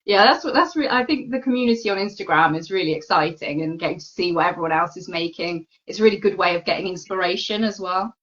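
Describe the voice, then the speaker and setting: A woman's voice: lowish voice, fast, few pauses, a bit bright. A woman hosting a live online chat, talking in a friendly, chatty way with many fillers over a video call. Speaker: host. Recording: dull lo-fi video call audio.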